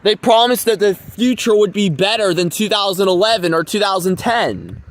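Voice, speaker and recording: A man's voice: high-pitched, lively intonation, normal speed. A man giving a rambling, informal monologue to viewers, repeating his phrases for emphasis. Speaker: vlogger.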